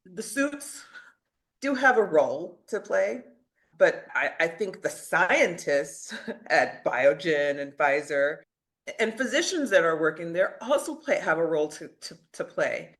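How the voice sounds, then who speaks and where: A woman's voice: on the low side, sing-song, measured pace, a bit bright. A woman talking as a podcast guest, conversational, stressing and rephrasing her points. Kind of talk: conversational discussion.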